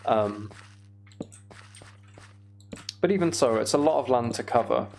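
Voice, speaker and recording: A man's voice: mid-range voice, animated, unhurried, gravelly, darkish tone, soft background music. A British man chatting casually on a livestream while he plays a game, rambling and thinking aloud. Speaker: streamer.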